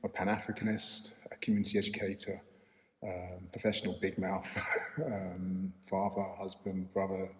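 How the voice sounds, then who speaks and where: Middle-aged man, low-pitched, thoughtful interview answers, recorded in a reverberant hall. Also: monotone. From London, England. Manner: thoughtful, measured, frequent "um" and restarts. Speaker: interviewee, filmmaker and lecturer. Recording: roomy echo with long reverb, faint background noise.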